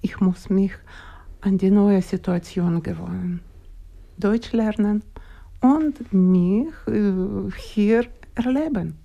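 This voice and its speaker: A woman in her sixties with a Ukrainian accent, speaking German haltingly as a guest in a radio studio interview. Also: medium pitch, vocal fry, mellow, muffled recording. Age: 60s. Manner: slow, halting, searching for words.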